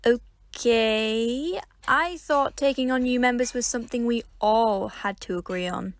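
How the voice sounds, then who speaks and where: An actress playing a prim, easily flustered club organiser in an audio drama, speaking in a brisk, clipped, humourless way. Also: female voice, fairly high pitch, dry recording. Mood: brisk, exasperated.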